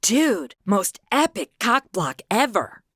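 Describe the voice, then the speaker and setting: Lady playing snarky modern girl angel, blunt, bright high voice, swoopy, comedy audio drama, dry studio sound. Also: very animated, takes it slowly, husky, dry recording, exaggerated voice. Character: flippant modern young female trainee angel, sceptical, sarcastic.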